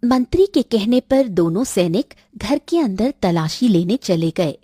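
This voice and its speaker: Female cartoon narrator: scripted storytelling, unhurried and mellow. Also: medium pitch, animated, breathy edge, dry recording, studio quality.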